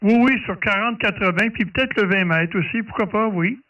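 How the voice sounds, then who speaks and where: Quebec-accented man, amateur radio hobbyist, friendly and talkative, slightly high voice, distant lo-fi transmission. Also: normal speed, very noisy. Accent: Quebec French. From Canada. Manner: friendly, chatty. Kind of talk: casual ham radio chat.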